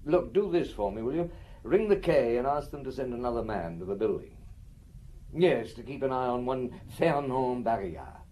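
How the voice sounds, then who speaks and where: An actor playing a shrewd, unhurried, wry middle-aged chief inspector with a husky voice in a radio drama. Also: male voice, medium pitch, animated, mellow, slight background noise, close to an everyday voice, rough recording. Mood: brisk.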